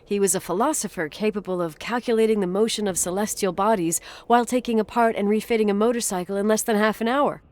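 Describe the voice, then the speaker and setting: A woman's voice: medium pitch, fast talker, hardly any pauses, darkish tone, lightly acted. A woman playing a wry, guarded character in a game, speaking in a hesitant, dryly sarcastic, naturalistic conversational way. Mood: reflective, wry. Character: wry, guarded adult woman recalling her childhood.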